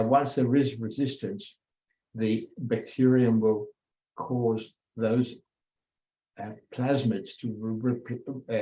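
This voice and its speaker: An older man giving an informal biology lecture, halting with many fillers and self-corrections. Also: fairly low pitch, dark tone. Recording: echoey room, dull lo-fi sound.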